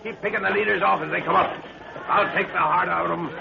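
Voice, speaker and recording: An actor playing a seasoned, commanding professor leading an army, clipped and hearty, in 1930s radio adventure style. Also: male voice, sits high, fairly even intonation, quick pace, creaky. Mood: battle-excited. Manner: clipped, hearty, barking orders. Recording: muffled, noisy 1930s radio recording.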